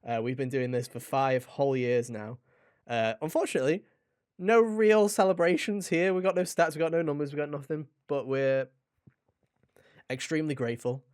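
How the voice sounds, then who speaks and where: A man's voice: on the high side, fairly even intonation, moderate pace, a little mellow, smooth, no echo. A man hosting a podcast in a casual, chatty way, with a jokey, mock-dramatic manner, close to the microphone. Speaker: podcast host.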